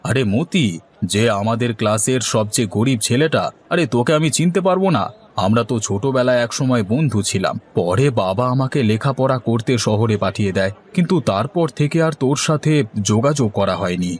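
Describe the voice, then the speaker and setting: A man's voice: medium pitch, lively intonation, brisk, close to an everyday voice. A man playing a mild, polite village husband in a cartoon story, with a conciliatory way of talking. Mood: warm recognition. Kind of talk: Bengali cartoon story dialogue.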